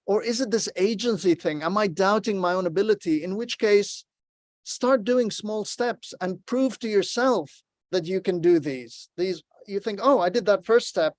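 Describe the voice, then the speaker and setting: Earnest man presenting a workshop, higher voice. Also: normal speed, a little mellow, no room sound. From Lebanon. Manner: earnest, encouraging, storytelling. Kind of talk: online workshop talk. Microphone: video call.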